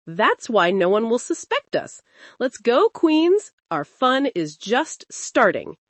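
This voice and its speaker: Woman voicing a bold, animated teenage schoolgirl ringleader. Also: fairly high pitch, slow, no echo, heavily acted. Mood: cocky. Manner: confident, coaxing.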